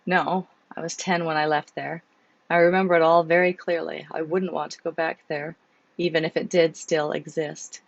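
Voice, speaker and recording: A woman with a cold reading her novel aloud, voicing a guarded man with a troubled past who talks things through in a measured way, her voice hoarse and gravelly. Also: low voice, flat delivery, dry recording, highs cut off. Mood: thoughtful.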